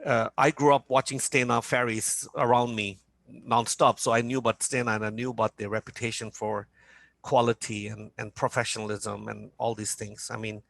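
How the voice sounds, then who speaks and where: A man's voice: mid-range voice, creaky, fairly bright tone, no echo. A man from Norway speaking in a business panel talk as a company founder, hesitant with many fillers and restarts.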